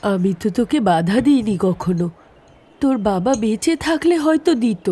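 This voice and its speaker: A woman playing a worried, weary widowed mother in an audio story, with a husky voice and solemn, cautioning manner. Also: fairly high pitch, expressive, moderate pace, mellow.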